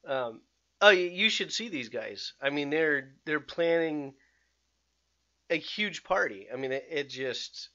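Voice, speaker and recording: A fairly high-voiced man hosts a podcast, rambling excitedly through restarts and fillers. His audio has a slightly dull, lo-fi tone. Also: takes it slowly. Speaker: podcast host. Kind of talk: casual podcast chat.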